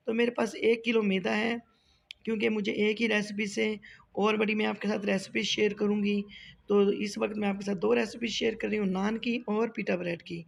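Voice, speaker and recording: A woman with a sore, hoarse throat hosting a home cooking tutorial, chatty and polite as she talks viewers through each step. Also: mid-range voice. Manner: chatty, polite, often addresses viewers as sir. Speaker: cooking channel host.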